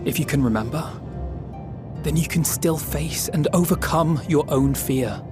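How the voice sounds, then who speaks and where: Man voicing an earnest, higher-pitched young hero. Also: music in the background, lightly acted. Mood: earnest resolve. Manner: solemn, formal, deliberate phrasing. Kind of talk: scripted video game cutscene acting.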